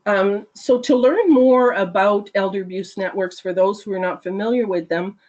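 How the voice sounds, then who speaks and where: A woman working as a regional consultant, giving a steady, informative webinar presentation over a video call. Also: mid-range voice, moderate pace, lo-fi sound.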